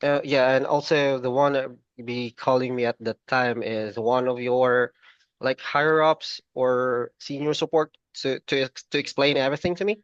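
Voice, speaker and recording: A man's voice: mid-range voice, fairly even intonation, moderate pace, smooth, dry recording, muffled recording. A man playing the prospective client on a sales call, talking casually and hesitantly, often repeating words as he agrees. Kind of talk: casual phone conversation.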